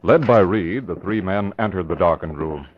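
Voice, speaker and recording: A man's voice: deep voice, normal speed, husky. A man reading scripted narration for a 1940s radio crime drama. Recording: dull, faintly noisy old radio recording.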